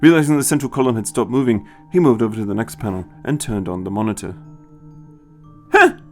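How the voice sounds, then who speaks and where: A man narrating a scripted story in an expressive storytelling style. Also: on the low side, fast. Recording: music behind the voice. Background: music.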